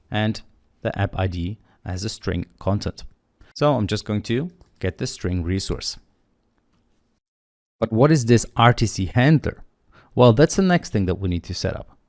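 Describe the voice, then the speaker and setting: A man walks through a programming tutorial, explaining each step in a steady, matter-of-fact way as he types. His voice sits on the low side and is recorded close and dry, with almost no room sound. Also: no room sound. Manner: steady explanatory, thinking aloud while typing. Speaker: coding tutorial instructor. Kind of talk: screen-recorded coding walkthrough.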